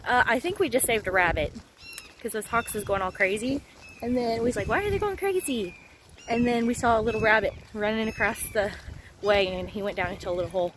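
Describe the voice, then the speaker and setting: Hoarse chatty woman vlogging. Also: fairly high pitch, brisk, background noise. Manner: chatty, rambling with many ums. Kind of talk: casual daily vlog to camera. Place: outdoors on a rural road, wind.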